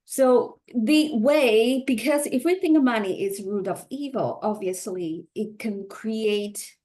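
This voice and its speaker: A woman taking part as a guest coach on a video call, speaking slowly and haltingly, with fillers and light laughs. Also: a little high, dark tone. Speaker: money mindset coach. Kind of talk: guest interview. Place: Vancouver, Canada.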